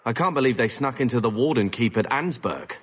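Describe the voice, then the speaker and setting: An actor playing an earnest, protective young male warrior in a video game, dry and resolute with a husky voice. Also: mid-range voice, expressive, brisk, a bit bright. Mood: dry, practical. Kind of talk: video game character acting.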